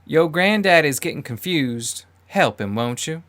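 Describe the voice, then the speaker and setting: Man voicing a bossy old matriarch, pitched up. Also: expressive, unhurried, a bit bright. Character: old woman, bossy card-reading family matriarch.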